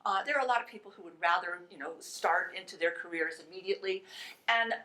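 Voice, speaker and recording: A woman speaking as a panelist in a measured, halting way as she explains a point. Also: fairly low pitch, bright, forceful tone, low-quality recording. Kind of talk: explanatory remarks at a panel discussion.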